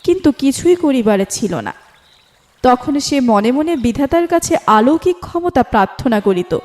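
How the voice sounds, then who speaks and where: A woman's voice: sits high, very animated, normal speed, darkish tone. A woman reading a scripted literary story as narrator, in a formal storytelling delivery. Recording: music bed under the voice. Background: instrumental music.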